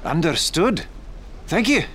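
An actor playing a genial, polite ship's officer in a period audio drama, with clipped formal speech. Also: male voice, fairly high pitch, big pitch swings, slow pace, fairly bright tone, slight background noise, full character voice. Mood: genial, musing.

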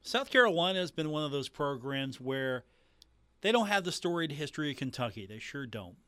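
A man's voice: a little high, big pitch swings, fairly quick, bright, forceful tone, clean tone, no echo, clean studio sound. A man hosting a sports radio show, speaking in a conversational style.

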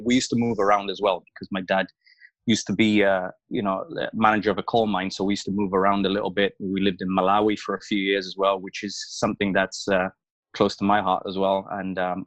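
40s guy, lowish voice, dry mic, rambles a lot with ums and restarts. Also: flat delivery, a little fast, dry recording. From Zambia and Wales. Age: early 40s. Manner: rambling, many fillers and restarts. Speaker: former international footballer guest. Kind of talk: casual reflective interview talk.

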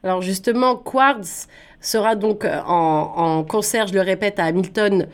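A woman's voice: mid-range voice, a little slow. A woman radio host from France, speaking with a French accent as she conducts an interview.

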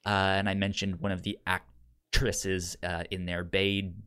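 Slow-talking man with a pronounced stutter. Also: low-pitched, flat delivery, a bit bright, clear, resonant tone. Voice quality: pronounced stutter with repeated sounds and syllables. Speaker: podcast co-host. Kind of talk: casual podcast pitch of TV shows.

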